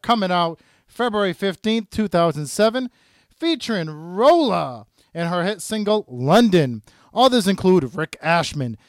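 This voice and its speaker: A man hosting a show in a studio, eager and quick to say yes, often halting with fillers. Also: sits high, a bit bright, clean tone. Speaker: host. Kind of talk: radio-style show hosting and promo read.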